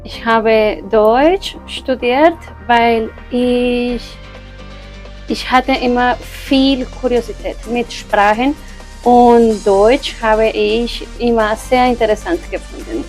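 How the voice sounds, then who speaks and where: A woman, a German teacher, answering interview questions slowly and carefully with pauses. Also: a little high, darkish tone, faint music behind. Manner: slow and careful, with fillers.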